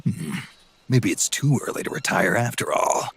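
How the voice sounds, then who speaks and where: An actor playing a gruff, aging soldier in a video game, terse and dry with a gravelly rasp. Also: male voice, lowish voice, lively intonation, normal speed, a bit bright, heavily acted. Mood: dry, curious. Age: older. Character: gruff, world-weary aging male soldier, sardonic and terse. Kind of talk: video game cutscene acting.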